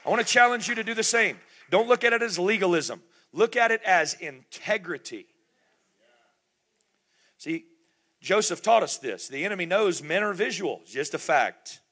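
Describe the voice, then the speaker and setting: Middle-aged male pastor, emphatic sermon delivery, bright forceful voice. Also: high-pitched, expressive, moderate pace, husky. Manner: emphatic, repetitive preaching with rhetorical questions.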